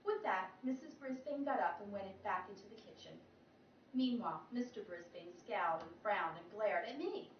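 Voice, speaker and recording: A woman teacher reading a children's chapter book aloud, animated, with her pitch jumping for the characters. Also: normal speed. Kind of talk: storybook read-aloud. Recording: roomy, distant, dull sound with faint noise.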